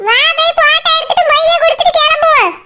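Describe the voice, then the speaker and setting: Super squeaky high cartoon village mom, kanyakumari tamil accent, dramatic complaining, really noisy clicky recording. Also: rapid-fire. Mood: complaining, hurt. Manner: complaining, melodramatic. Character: aggrieved, loud-mouthed village mother of grown daughters.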